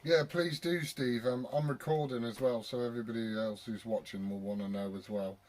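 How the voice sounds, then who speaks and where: A man's voice: mid-range voice, steady tone, normal speed, very noisy. A British man chatting casually as a CB radio operator, blokey and sweary in his talk. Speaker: CB radio operator and video maker.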